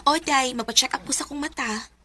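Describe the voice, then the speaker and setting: A high-voiced friendly Bisaya classmate. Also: female voice, a little fast, bright, forceful tone. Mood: friendly, casual. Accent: Filipino, Bisaya. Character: friendly, generous young female classmate. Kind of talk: Bisaya radio drama acting.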